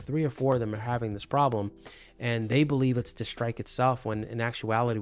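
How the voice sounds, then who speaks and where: A man presenting a casual explainer video as an installer, talking in a conversational, explanatory way and repeating points for emphasis. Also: lowish voice. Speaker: presenter, intercom and door hardware installer.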